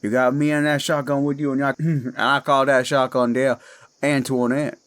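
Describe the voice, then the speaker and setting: A man with a Cajun accent playing a menacing male werewolf who poses as a friendly swamp guide, talking in a slow, coaxing drawl in a horror audio drama. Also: medium pitch, level tone, a bit bright, natural voice.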